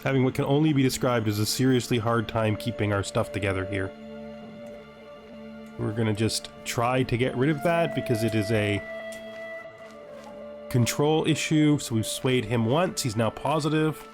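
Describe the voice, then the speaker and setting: A man with a mid-pitched voice commentates a strategy game in a casual way. He thinks aloud and speaks wryly to the characters on screen. Soft music plays behind him. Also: normal speed, long pauses. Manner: wry, talks to game characters. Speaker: gaming video host.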